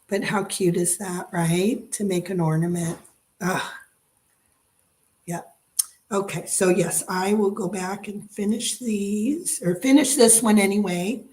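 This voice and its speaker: A woman chats through a live craft session from her dining room table with a slightly froggy, hoarse throat. She rambles, thinking aloud, full of restarts and little fillers, at an ordinary mid pitch. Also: dark tone, a little hiss or hum. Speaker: craft channel host. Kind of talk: casual live-stream craft chat.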